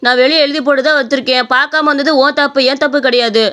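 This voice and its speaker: A woman's voice: high-pitched, fairly even intonation, quick pace, fairly bright tone. A woman voicing a brash, petulant and demanding young man in a story. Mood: rude, angry. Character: brash, demanding young man, idle son of idli seller.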